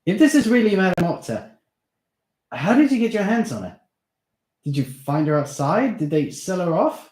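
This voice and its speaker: A man voicing an excitable, hot-tempered schoolboy who yells in a high, shrill voice. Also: normal speed, long pauses. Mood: shocked. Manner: yelling insults, high-pitched and shrill. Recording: roomy echo.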